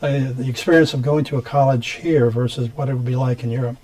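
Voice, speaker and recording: Male host for a studio interview; mellow tone, loose and meandering questions. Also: medium pitch, steady tone, fast talker, low-quality recording. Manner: rambling questions, frequent mm-hmms. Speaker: TV show host.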